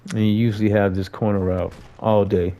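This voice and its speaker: A man's voice: fairly low pitch, flat delivery, a little fast, mellow. An American man giving a casual, conversational gameplay tutorial, talking informally to viewers as a host.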